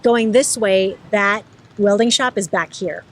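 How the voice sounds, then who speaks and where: A woman's voice: medium pitch, a little slow, a little hiss or hum. A woman vlogger talking casually with her mother, explaining step by step where an old family house once stood. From United States. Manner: explains step by step, thinking aloud. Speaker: vlogger showing family footage. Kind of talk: casual chat.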